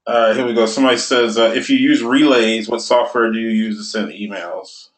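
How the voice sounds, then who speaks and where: A man hosting a live webinar Q&A, casually reading audience questions aloud with pauses between them. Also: mid-range voice, steady tone. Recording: roomy echoey sound.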